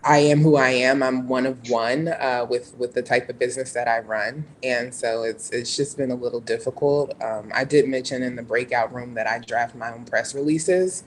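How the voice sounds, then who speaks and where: A low-voiced speaker on a video call talks about their business in measured, slightly hesitant speech with the odd "uh". A faint rumble runs under the voice. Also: steady tone, low-quality recording. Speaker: meeting attendee, business owner. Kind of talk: casual online meeting talk.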